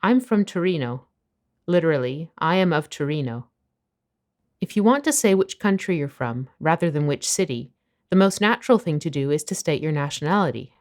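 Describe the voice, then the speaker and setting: Low-voiced woman narrating a language lesson. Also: animated, a little mellow. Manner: clear, measured explanations. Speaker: language teacher.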